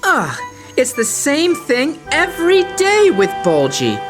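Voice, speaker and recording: A woman's voice: sits high, wildly swooping pitch, slow, full character voice. A woman voicing a fed-up young cartoon steam engine with a whiny, complaining manner. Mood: exasperated. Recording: music playing behind.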